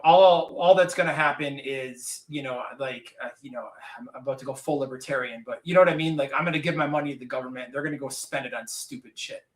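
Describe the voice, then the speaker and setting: A man's voice: medium pitch, a bit bright. A man in his forties who owns businesses, talking earnestly in a candid podcast conversation, rambling with frequent restarts. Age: 40s. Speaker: business owner. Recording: roomy echo, distant from mic.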